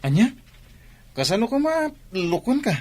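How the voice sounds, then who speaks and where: An actor with an Ilocano Filipino accent playing a scheming young driver and kept lover in a radio drama. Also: male voice, extremely high pitch, sing-song, measured pace, a little mellow, exaggerated voice. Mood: sweet-talking.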